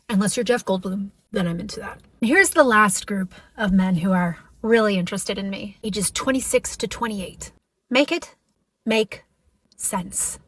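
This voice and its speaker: A woman's voice: medium pitch, dry recording. A woman in her forties talking to the camera, chatty and exasperated, making fun of herself. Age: 40s. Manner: chatty, exasperated and self-deprecating.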